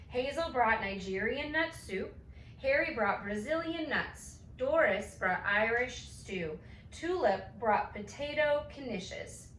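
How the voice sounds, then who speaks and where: Slightly high-voiced lady reading a kids bedtime story out loud, echoey room, faint hiss. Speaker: storybook reader. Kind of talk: expressive children's bedtime story read-aloud. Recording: roomy, distant mic, faint noise.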